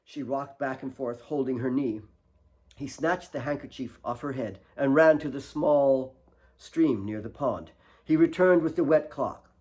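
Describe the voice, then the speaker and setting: A man narrating dramatic fiction as its author, in an expressive storytelling manner. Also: medium pitch, unhurried, husky. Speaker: author reading own fiction.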